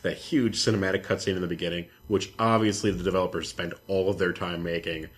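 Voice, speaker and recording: Young male podcast co-host, lower register, sardonic, sweary rants, loose conversational delivery. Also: fairly even intonation, fast talker. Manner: sardonic, ranting, profane. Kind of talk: casual gaming chat.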